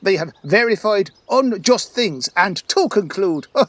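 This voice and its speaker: A bumbling constable in a comic Shakespearean radio play. His voice is very high for a man. He is self-important and pompous, hesitating and humming as he sets out each point. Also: lively intonation, a little slow, fairly bright tone, full character voice. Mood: officious muddled. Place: home recording.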